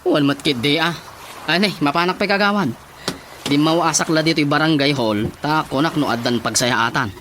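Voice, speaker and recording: A man's voice: a little high, normal speed, fairly bright tone, slight background noise, close to an everyday voice. A man with an Ilocano accent playing a worried, chatty villager in a radio drama.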